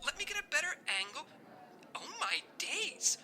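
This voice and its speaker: High pitch, lively swings, excitable undercover agent, gushing, theatrical, audio comedy. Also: female voice, moderate pace, a crowd in the background. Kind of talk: comedic audio drama acting.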